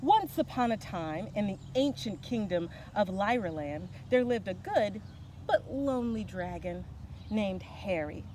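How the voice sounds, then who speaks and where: A woman's voice: mid-range voice, animated, measured pace, warm tone. A woman who works as a children's storyteller, speaking casually and reading aloud for kids. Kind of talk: animated read-aloud storytelling and casual introduction. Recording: clear ambient background noise.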